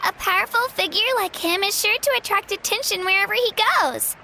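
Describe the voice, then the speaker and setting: A woman's voice: extremely high pitch, brisk, vocal fry, background noise. A woman voicing a young, plucky but modest girl warrior in a video game, sweet and earnest. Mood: thoughtful, helpful. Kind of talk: animated video game voice acting.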